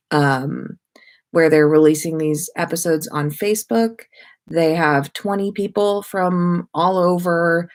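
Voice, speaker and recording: A woman hosting a casual livestream, chatting informally and greeting her listeners. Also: fairly low pitch. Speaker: livestream host and podcaster.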